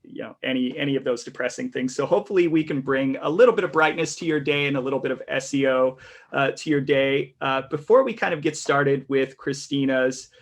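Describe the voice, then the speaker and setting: A man with a light tenor voice hosts a relaxed podcast conversation. He rambles through his points, restarting sentences and filling pauses with "uh" along the way. He sounds as if he is talking into a podcast mic on a video call. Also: medium pitch. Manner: rambling, many restarts and fillers. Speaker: podcast host. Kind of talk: casual podcast chat.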